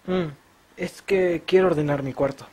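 A man's voice: on the high side, normal speed, darkish tone, close to an everyday voice. A man acting a nervous, curious teenage son in a horror anime fan dub, with a youthful Latin American Spanish accent. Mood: uneasy curiosity. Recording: faint background noise.

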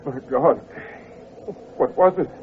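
A man's voice: medium pitch, slow pace, lightly acted. An actor playing a shaken, frightened male aristocrat with panicky, breathless exclamations, in 1940s radio acting style. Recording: muffled, hissy 1940s radio recording.

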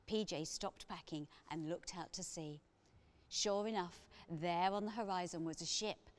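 A middle-aged woman reads a children's story aloud. Her voice sits on the low side and her narration is expressive. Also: a little slow. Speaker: author reading aloud.

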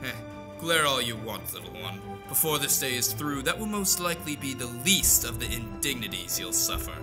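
A man acts out a menacing archer soldier in a fantasy audio drama. He is sneering and impatient, and his pitch moves a lot. Loud music runs behind him. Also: big pitch swings, moderate pace, natural voice. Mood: sneering, threatening. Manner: sneering, threatening.

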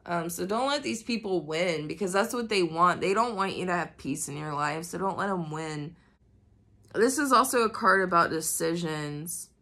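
A woman's voice: fairly low pitch, animated, moderate pace, sharp tone. Nasal woman with a cold. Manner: chatty, swears freely, many fillers. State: coming down with a cold. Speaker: tarot reader. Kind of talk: casual tarot reading to camera. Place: indoors at a floor-level reading table. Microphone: camera pointed at the cards, speaker off-frame.

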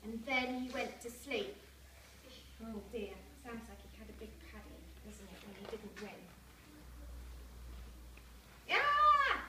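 A young woman with a high voice reads a children's story at a slow, deliberate pace, pausing for asides to her small listeners. She is heard from far off in a school hall. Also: sing-song. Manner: simple, deliberate phrasing, checking in with young listeners. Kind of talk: animated picture-book read-aloud with asides to children. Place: large indoor primary school hall. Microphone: camera several metres away. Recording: echoey school hall, distant camera, noisy room.

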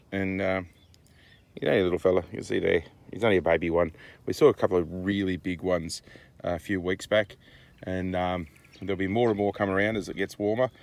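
Low, creaky Australian man. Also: fairly even intonation, normal speed, pauses often. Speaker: farm vlogger. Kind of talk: casual vlog narration. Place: outdoors on a dry rural farm. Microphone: handheld phone at arm's length.

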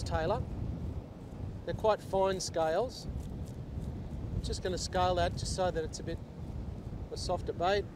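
A middle-aged man with a fairly high voice talks through his beach fishing in a steady, step-by-step way. He is on a lapel mic on an open beach, with wind and surf clearly heard underneath. He sounds like a fishing instructor working with his hands as he explains.

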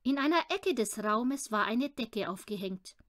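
High, very animated woman storyteller. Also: unhurried. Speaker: audiobook reader. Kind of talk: expressive children's story narration.

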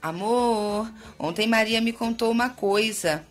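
An actress playing a nosy, teasing Brazilian village wife in a radio soap. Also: female voice, a little high, measured pace, loud music behind the voice, close to an everyday voice. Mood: teasing, probing. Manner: teasing, probing. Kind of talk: radio soap opera acting.

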